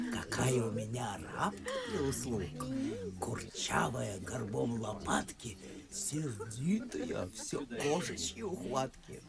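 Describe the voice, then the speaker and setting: A woman's voice: rumbling low, vocal fry, heavily acted. An older actress playing an imperious, grumbling society aunt of sixty-five in a theatrical radio play, blunt and drawling in her complaints. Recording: studio drama with crowd murmur behind.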